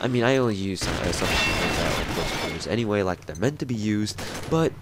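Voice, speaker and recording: Deep-voiced man with a stuffy nose. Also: lively intonation, brisk. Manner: rambling, self-correcting, informal with mild swearing. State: stuffy nose, nasal-sounding. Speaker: game reviewer. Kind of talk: casual gameplay review commentary. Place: his room at home. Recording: loud gunfire noise under voice. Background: loud game gunfire.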